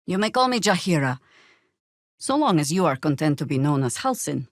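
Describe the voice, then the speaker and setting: Weary older druid war leader from a fantasy game, lowish voice, dry and wry, close dry sound. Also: female voice, sing-song, a little fast, dry recording. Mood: stern, weary. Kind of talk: video game character acting.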